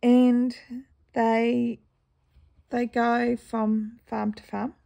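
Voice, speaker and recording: A woman with a New Zealand accent chatting casually in a stitching vlog, rambling through a story and often clearing her croaky throat. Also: on the high side, level tone, drawn-out words, dark tone. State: croaky morning voice. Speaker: cross-stitch vlogger.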